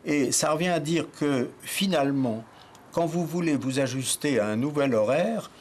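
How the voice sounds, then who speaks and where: A man's voice: fairly high pitch, normal speed. A man interviewed as an expert, speaking hesitantly with fillers. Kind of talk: documentary interview.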